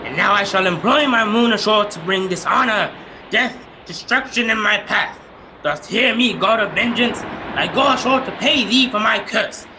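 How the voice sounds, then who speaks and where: An actor playing a bitter, defiant, centuries-old cursed sea captain, declaiming in grandiose archaic radio-drama style. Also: male voice, sits high, moderate pace. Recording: clear background noise.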